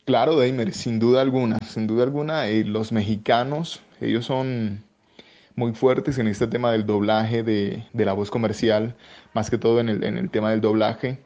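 A man from Colombia answering interview questions about voice work, pausing often with fillers and restarts. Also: mid-range voice, fairly even intonation, darkish tone, lo-fi sound. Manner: hesitant, many fillers and restarts. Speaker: voice-over artist guest.

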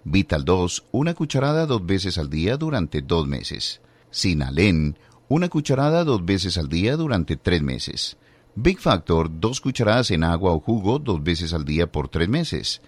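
A man with a very low voice reads a radio advertisement. His delivery rises and falls widely, and the sound is dry and close. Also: very animated, a little slow, breathy edge, no room sound. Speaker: advertisement announcer. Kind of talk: read radio product ad.

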